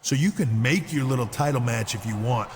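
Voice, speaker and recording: A man's voice: mid-range voice, fairly quick, slight background noise, lightly acted. A man playing an arrogant, threatening wrestler in a video game cutscene, talking with smug menace. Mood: smug threat. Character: arrogant, threatening male wrestler and former manager.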